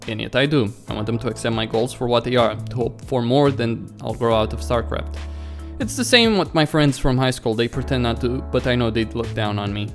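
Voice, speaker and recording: A man's voice: medium pitch, fairly quick, faint music behind, lightly acted. A man voicing a weary, self-doubting young pro gamer's inner thoughts in a visual novel, brooding and questioning himself. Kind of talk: visual novel read-aloud.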